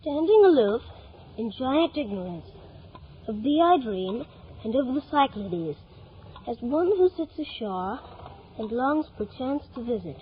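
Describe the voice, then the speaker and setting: A woman's voice: on the high side, sing-song, unhurried. A woman playing an earnest, imaginative schoolboy full of breathless wonder in 1950s radio drama style. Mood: fervent pleading. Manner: breathless, earnest, wide-eyed. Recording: dull, noisy vintage radio recording.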